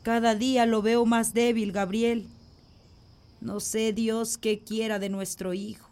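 A woman's voice: fairly high pitch, flat delivery, takes it slowly, background noise. An actress playing a worried village mother in a radio drama, anxious and pleading.

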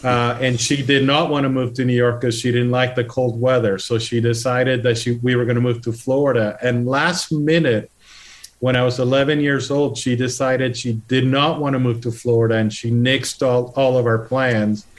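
Middle-aged guest, mid-pitched, earnest storyteller with restarts. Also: male voice, flat delivery, normal speed. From Puerto Rico. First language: Spanish. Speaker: guest, political candidate. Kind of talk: conversational interview.

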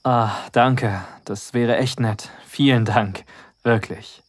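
A man playing a calm, friendly young boyfriend on holiday, speaking in a relaxed, reassuring way in an audio drama. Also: medium pitch, slow.

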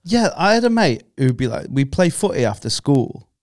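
A man's voice: medium pitch, big pitch swings, normal speed, darkish tone, no echo, studio quality. A man in casual chat as a podcast co-host, swearing and joking. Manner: banter with swearing and jokey put-on voices.

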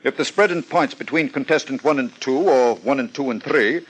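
An actor playing a pompous, stuffy contest promoter in a 1950s radio drama, speaking formally and with some hesitation. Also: male voice, medium pitch, moderate pace, husky, lightly acted, low-quality recording.

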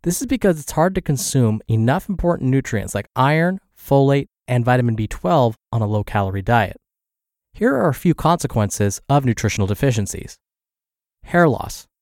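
A man's voice: medium pitch, very animated, normal speed, a little mellow, no echo, clean studio sound. A man hosting a podcast and reading a health blog aloud, sounding congested and scratchy from the flu. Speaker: podcast host and narrator.

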